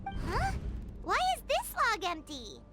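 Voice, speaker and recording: A woman voicing a tiny, childlike, excitable companion creature in a video game, squeaky and exclamatory. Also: takes it slowly, over music, full character voice. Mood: puzzled. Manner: exclamatory, eager.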